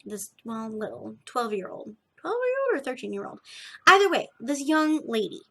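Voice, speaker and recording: A young woman with a slightly gravelly edge, chatting casually and emphatically as a podcast host, often restarting her sentences. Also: on the high side, moderate pace. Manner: animated, emphatic, self-interrupting. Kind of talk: casual rambling chat to viewers.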